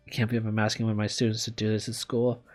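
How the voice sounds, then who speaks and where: A man's voice: lowish voice, completely flat delivery, very fast. A man narrating a visual novel as a let's player, sometimes stumbling and rereading words. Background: music.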